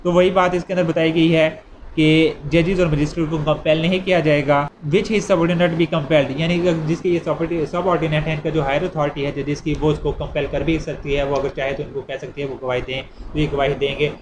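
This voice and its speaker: Higher-voiced Pakistani man lecturing over noise. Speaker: law lecturer. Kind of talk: explanatory lecture, reading statutes aloud and explaining them.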